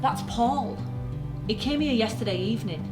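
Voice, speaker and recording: A woman's voice: a little high, expressive, normal speed, close to an everyday voice. A woman playing a bewildered, sceptical young office worker in an audio drama, blunt and questioning. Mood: confused, anxious. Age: young adult. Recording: echoey with music underneath.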